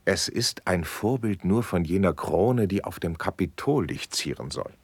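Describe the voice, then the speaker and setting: Dignified court nobleman, deep voice, slow, sweeping rhetorical swings, ceremonious verse delivery, husky. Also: expressive, no echo, full character voice. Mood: encouraging. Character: dignified, gracious noble male patron at court. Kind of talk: classical verse drama declamation.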